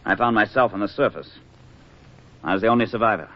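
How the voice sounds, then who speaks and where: A man's voice: on the low side, fast, close to an everyday voice. A man acting a bitter, defiant navy diver. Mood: grim, resigned. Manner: curt, resentful. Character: bitter, defiant navy diver, disgraced former submarine commander. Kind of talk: 1940s radio drama acting. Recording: dull lo-fi 1940s radio recording.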